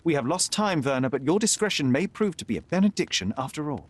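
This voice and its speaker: A young sharp detective, formal and precise, lively pitch. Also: male voice, fast, breathy edge. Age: young adult.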